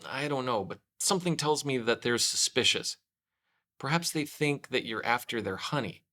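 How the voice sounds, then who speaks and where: Storybook toy bear voiced by a man: earnest, a bit dim, deliberating gravely, bright-toned. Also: mid-range voice, lively intonation, normal speed. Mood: conspiratorial. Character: earnest, scheming, slightly foolish male toy bear. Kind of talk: children's storybook read-aloud.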